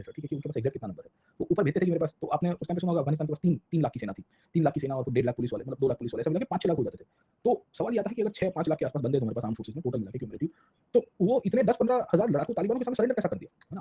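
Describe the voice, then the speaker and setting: Indian male lecturer, rapid-fire and raspy, conversational classroom delivery full of check-in questions, on dull lo-fi audio. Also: a little high. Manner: conversational, peppered with rhetorical check-in questions and tag words; mixes Hindi and English. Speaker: history teacher.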